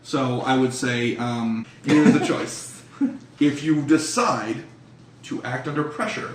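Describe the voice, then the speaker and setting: A man runs an improvised tabletop game in a loose, profane, conversational way. His mid-pitched voice sits a little back from the mic in an echoey room with faint noise. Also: takes it slowly, far from the mic. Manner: profane, colloquial. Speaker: game master of a tabletop horror game. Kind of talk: improvised narration and casual table talk.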